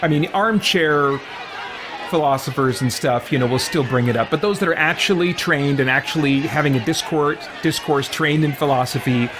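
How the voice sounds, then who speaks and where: A man hosting a let's play, giving halting, thoughtful philosophical commentary over noisy game ambience. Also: a little high, a crowd in the background.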